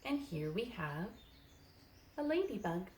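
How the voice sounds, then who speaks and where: A woman childcare educator telling stories and playing with toddlers in a sing-song, animated, child-directed way, using simple phrases. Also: medium pitch, a little slow, a little hiss or hum. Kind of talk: sing-song picture book storytelling and play for toddlers.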